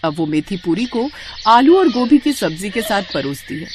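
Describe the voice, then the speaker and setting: A woman narrating a scripted moral tale for animation in an expressive storytelling manner. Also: mid-range voice, moderate pace, a little hiss or hum.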